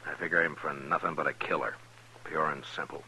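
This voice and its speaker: Stern american western marshal, very low hard-edged voice, terse, old radio show. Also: male voice, fairly even intonation, vocal fry, pushed and bright, full character voice, rough recording. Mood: grave. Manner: terse, measured, authoritative. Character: stern, steady lawman of the Old West. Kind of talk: 1950s radio western acting. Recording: old radio recording, faint noise.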